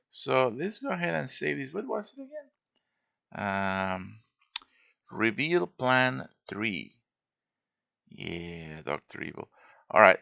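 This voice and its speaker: A man's voice: medium pitch, vocal fry, sharp tone. A man giving a casual show-and-tell tutorial as a game modder, informal and thinking aloud as he works.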